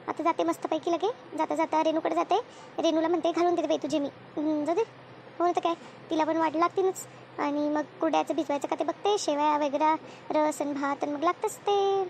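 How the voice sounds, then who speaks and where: A woman's voice: extremely high pitch, a little hiss or hum. A woman voicing a chatty, bossy but caring village housewife in a cartoon comedy, with a rural Maharashtrian accent and a rambling, scolding manner.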